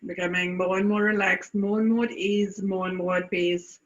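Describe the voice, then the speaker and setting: A woman from South Africa leading a guided meditation, speaking in deliberate phrases with long pauses. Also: medium pitch, flat delivery, muffled recording.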